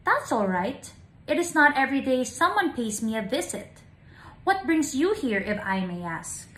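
A gentle, very old talking tree voiced by a woman reader from a storybook. It speaks politely and wistfully, with a lilting range, in an echoey room. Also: sits high, big pitch swings, moderate pace. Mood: friendly. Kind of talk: children's storybook reading.

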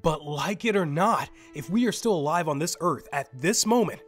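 A man's voice: fairly high pitch, animated, normal speed, soft background music. A man acting an arrogant, irritable survivor in an audio drama, with a sardonic, condescending manner. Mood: condescending, impatient. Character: arrogant, irritable, cynical male survivor with a ship.

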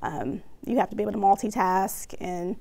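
A woman's voice: medium pitch, normal speed, gravelly, warm tone. An American woman in her thirties explaining her dispatcher job in a studio interview. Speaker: 911 dispatcher, interview guest. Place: indoor TV studio at a desk.

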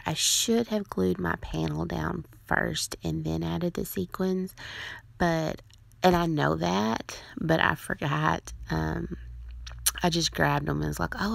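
A woman narrating her card-making process as a voice-over, in a relaxed, rambling way with frequent ums. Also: low-pitched, creaky, no room sound.

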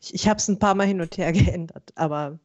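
A woman's voice: on the low side, fairly quick, a crowd in the background. A woman explaining something casually on a video call from home, with slightly dull sound. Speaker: stream guest and co-writer.

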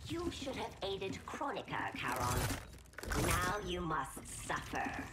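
A woman's voice: lowish voice, very animated, slow pace, very noisy. A woman voicing a cold, menacing insect-humanoid schemer in a video game, speaking formally of herself in the third person. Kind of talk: dramatic video game voice acting.

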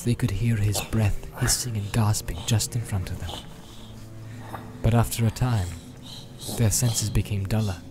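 A man reads dramatic fantasy narration in a medium-pitched voice. He is solemn and measured, drawing out phrases, while music plays clearly behind him. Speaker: audiobook narrator.

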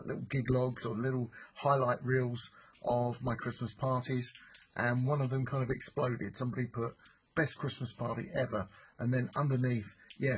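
A middle-aged man, a DJ, telling in a pleased, relaxed way about praise he got, in a dim room. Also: mid-range voice, a little hiss or hum, rough recording.

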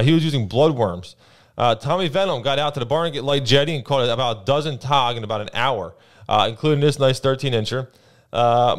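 A young man with a New Jersey accent co-hosting a fishing report, telling his weekend trips in a relaxed way full of fillers, at a boom mic in a small studio. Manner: relaxed storytelling with many uh and um. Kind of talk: casual fishing report chat. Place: small studio with brick wall, indoors. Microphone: boom mic on arm, headphones.

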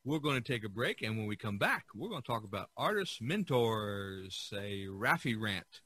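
A man hosting a casual podcast discussion, talking in a halting, rambling way. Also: lowish voice, very animated, bright, forceful tone, husky, dry recording. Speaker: podcast host.

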